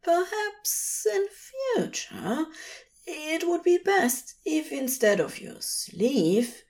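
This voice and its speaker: A woman's voice: extremely high pitch, darkish tone, voice pushed up, exaggerated voice. A woman voicing a fussy, tender male angel who is earnest and adoring, with a fervent, dreamy delivery.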